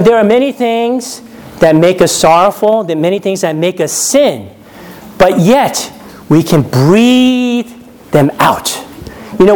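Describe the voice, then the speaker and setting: A man's voice: sits high, darkish tone. A man from New York preaching a conversational sermon, often checking in with his listeners and laughing at his own stories. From New York, USA. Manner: chatty, often checks in with "right?".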